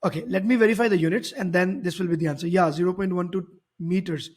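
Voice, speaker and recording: High-pitched male physics tutor. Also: steady tone, fast, husky. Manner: explanatory, repeats and rephrases points. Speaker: physics teacher. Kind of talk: worked-problem tutorial.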